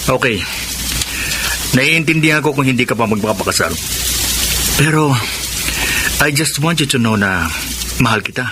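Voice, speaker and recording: A man's voice: mid-range voice, expressive, normal speed, long pauses, background noise, lightly acted. A man playing a patient, devoted young suitor in a Filipino radio drama, soothing and mixing in English.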